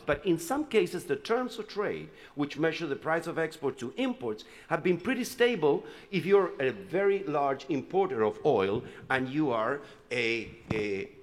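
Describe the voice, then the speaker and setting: A man's voice: medium pitch, big-room sound. A man from Chile speaking on a conference panel, loose and conversational, with many fillers and restarts as he talks through his slides.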